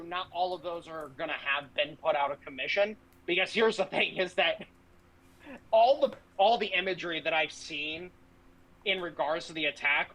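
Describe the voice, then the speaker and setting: A man's voice: fairly high pitch, sharp tone, rough recording. A man giving casual political commentary as a podcast host, speaking in a brash, blunt, profanity-laced way.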